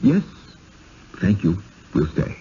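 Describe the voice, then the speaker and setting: Deep-voiced, skeptical, uneasy husband. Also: male voice, huge pitch swings, takes it slowly, over loud music, heavily acted. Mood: reluctant. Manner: hesitant, grumbling, doubting. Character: skeptical, uneasy husband visiting a strange village. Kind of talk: 1970s radio drama acting. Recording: dull, noisy old radio broadcast.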